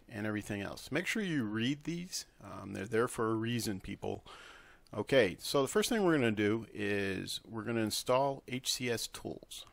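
A man with a fairly low voice and plenty of vocal fry presents a software tutorial. He talks informally and instructs step by step, in the casual way of someone recording a screen walkthrough at his computer. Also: dry recording.

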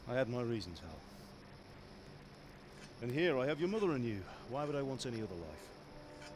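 A man's voice: mid-range voice, very animated, normal speed, noisy background, close to an everyday voice. An actor playing a stern, proud middle-aged blacksmith father in a video game, plain-spoken and admonishing. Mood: reflective. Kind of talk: video game cutscene acting. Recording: game music behind the voice.

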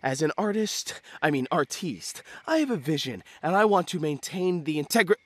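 A man playing a prickly, pretentious teenage boy film director in an animated comedy, sarcastic and theatrical in his delivery. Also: on the high side, a little fast, no echo. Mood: eager, pompous. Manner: pompous, sarcastic, melodramatic.

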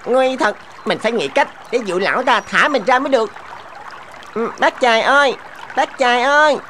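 Sly little goldfish, high-pitched. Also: female voice, normal speed, slight background noise. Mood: coaxing. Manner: coaxing, sly, expressive. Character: small, cunning, sweet-talking talking goldfish, mocking trickster. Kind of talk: animated fable dubbing.

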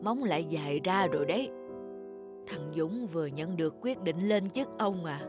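A woman voicing an elderly, devoted wife in a cartoon, speaking softly and caringly. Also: fairly low pitch, lively intonation, normal speed, natural voice. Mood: tender. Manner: soft, caring. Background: music.

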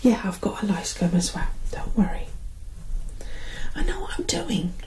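A British woman doing an ASMR roleplay as a soothing, affectionate mother, reassuring in soft close-up speech. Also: mid-range voice, very animated, normal speed, rough recording. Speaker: ASMR performer playing a mother. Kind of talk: ASMR roleplay, whispered and soft-spoken personal attention.

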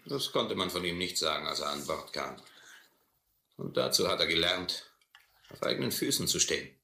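A deep, sardonic sea captain. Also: male voice, expressive, moderate pace, creaky, bright, forceful tone, heavily acted. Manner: sardonic, condescending, commanding. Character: domineering sea captain, mocking, brutal, intellectual bully. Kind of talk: German audio adventure drama acting. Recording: roomy old radio-play recording, faint noise.

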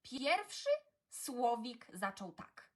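Animated woman reading children's poetry. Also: fairly high pitch. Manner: theatrical, deliberate phrasing. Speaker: narrator.

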